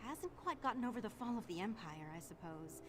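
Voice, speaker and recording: A woman's voice: medium pitch, very animated, a little fast, noisy background, natural voice. A woman playing a courteous, candid courier in a fantasy video game, speaking in formal phrases. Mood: polite, candid.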